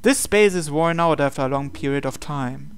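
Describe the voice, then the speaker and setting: Clear, higher-voiced man reading aloud. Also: normal speed. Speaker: game playthrough host. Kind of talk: reading visual novel text aloud with commentary.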